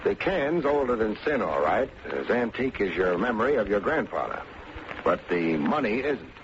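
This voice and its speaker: An actor playing a wry, wisecracking American private detective and narrator in an old-time radio drama. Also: male voice, lowish voice, very animated, normal speed. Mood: matter-of-fact, pressing. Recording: muffled, noisy old radio recording.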